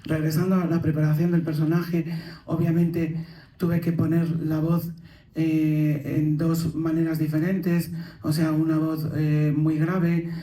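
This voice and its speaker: Even-toned woman with a Madrid Spanish accent. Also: low-pitched, slight background noise. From Madrid, Spain. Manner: slow, thoughtful, hesitant. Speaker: actor on a panel. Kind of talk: panel Q&A answer. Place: theater stage at a premiere. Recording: echoey theater room.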